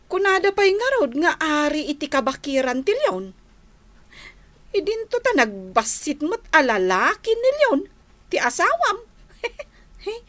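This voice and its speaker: A woman's voice: high-pitched, fairly bright tone, heavily acted. A woman with an Ilocano accent playing a giggly, mocking younger sister and discontented wife in a radio drama. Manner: giggling, teasing, drawn-out delivery. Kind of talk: Filipino radio drama acting.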